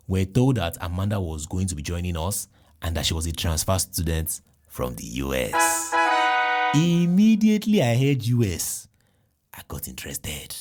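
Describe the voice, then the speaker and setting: A man with a Nigerian accent, a podcast host telling a comic personal story in an animated, jokey way with exclamations. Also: deep voice, moderate pace, loud music behind the voice.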